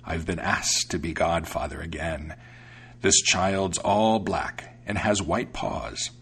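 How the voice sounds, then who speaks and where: Guy doing a sly greedy tomcat from a storybook, low n husky, slow dry drawl. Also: steady tone, fairly bright tone, heavily acted. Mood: wheedling. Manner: slow, dry, drawn-out delivery. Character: sly, greedy, smooth-talking male cat, dryly deceitful. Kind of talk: storybook character voice.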